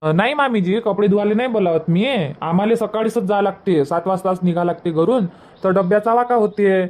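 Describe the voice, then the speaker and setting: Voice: mid-range voice, brisk, darkish tone, lightly acted. An actor voicing a put-upon young village son in a cartoon comedy, with a Varhadi Marathi accent and a whining, self-justifying manner. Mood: defensive. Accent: Varhadi Marathi from Vidarbha.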